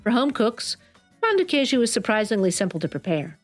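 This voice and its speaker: Woman podcast host reading a smooth script, faint music in back. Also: a little high, sing-song, a little fast. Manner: polished, read-aloud delivery. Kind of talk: scripted narration and interview questions.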